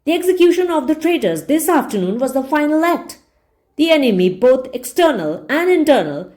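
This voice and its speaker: A woman's voice: high voice, a little mellow, voice pushed up. A woman voicing an officious male propagandist pig in a storybook, stiff, declamatory and self-important.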